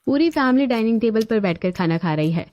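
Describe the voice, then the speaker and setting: A woman's voice: fairly high pitch, lively intonation, fairly quick, darkish tone. A woman reading scripted narration for a cartoon family story. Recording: dull lo-fi audio over music.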